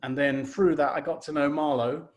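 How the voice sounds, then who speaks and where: A man's voice: a little high, fairly even intonation, moderate pace. A man speaking informally and a little hesitantly as the writer and producer hosting a cast introduction. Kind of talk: casual introductions.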